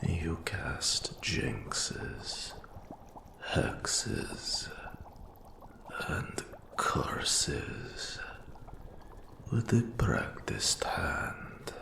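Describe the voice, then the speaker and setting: A man voicing an old undead wraith mentor in a fantasy audio roleplay, eerie and courtly, with a gravelly, breathy half-whisper and slow, archaic, formal phrasing. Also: rumbling low, very animated, heavily acted. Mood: grave, approving. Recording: roomy echo on the voice.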